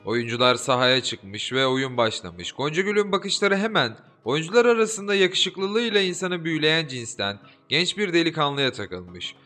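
Guy reading a fairy tale, bright lilting voice, soft music under it. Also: on the high side, moderate pace, hardly any pauses. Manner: expressive, lilting storytelling. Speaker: audiobook narrator. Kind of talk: scripted fairy-tale narration. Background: soft background music.

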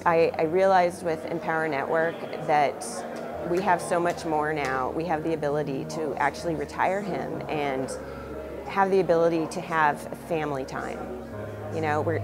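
A woman from Seattle, a stay-at-home mom, giving a testimonial, sometimes repeating words. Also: sits low. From Seattle, Washington. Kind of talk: promotional testimonial. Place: studio booth before a logo backdrop. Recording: music bed underneath.

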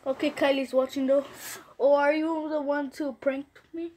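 A young female livestreamer chatting casually and excitably on a phone while making prank calls. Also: high voice, moderate pace. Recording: noisy amateur phone recording with clicks.

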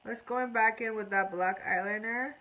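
A woman's voice: a little high, steady tone, moderate pace, bright, forceful tone. A woman in her thirties from Iran, chatting casually on a live makeup tutorial and reading out viewer comments while she works. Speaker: makeup artist. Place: small indoor room before a curtain.

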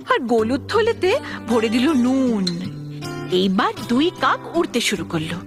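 Casting: female fable narrator, high register, unhurried and expressive, light music underneath. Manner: expressive, deliberate storytelling. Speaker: storyteller. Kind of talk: scripted children's fable narration.

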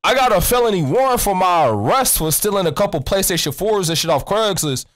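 A young American man with a high voice rambles nonchalantly in street slang. He swears freely as he works a prank call, speaking close into a studio mic. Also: animated, brisk, fairly bright tone. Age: young adult. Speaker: prank caller and streamer. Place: indoors at a streaming desk. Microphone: close studio mic with pop filter, headset on.

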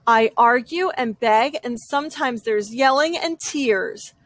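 A woman with a high voice reads a children's storybook aloud. She takes it slowly, stretching key phrases for dramatic effect. The sound is dry, with no room echo. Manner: dramatic, drawn-out emphasis on repeated phrases. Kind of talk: expressive children's picture-book read-aloud in a young girl's first person.

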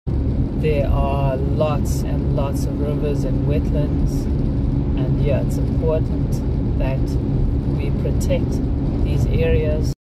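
A man's voice: medium pitch, slow. Young man vlogging from a car. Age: young adult. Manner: relaxed, explanatory. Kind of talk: casual travel vlog. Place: inside a moving car. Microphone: camera on the dashboard. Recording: loud road noise.

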